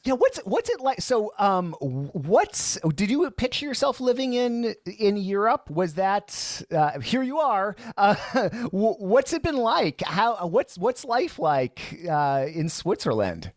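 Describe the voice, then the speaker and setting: A man's voice: sits high, slow, few pauses, no room sound, studio quality. A man hosting a podcast interview, rambling and restarting his sentences. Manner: rambling, restarts a lot, animated. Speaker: podcast host. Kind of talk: casual interview chat.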